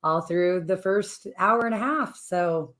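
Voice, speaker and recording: A woman co-hosting a radio show, chatting lightly, her voice raspy from a trip. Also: lowish voice, slow pace. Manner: chatty, laughing, lively. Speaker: radio co-host. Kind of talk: casual on-air chat.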